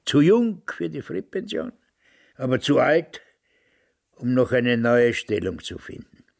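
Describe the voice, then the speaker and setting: An actor in a radio drama playing a cantankerous, fatalistic old father with a raspy, creaky voice. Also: mid-range voice, big pitch swings, a little slow, exaggerated voice. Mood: bitter, fatalistic. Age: older. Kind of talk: German radio drama acting.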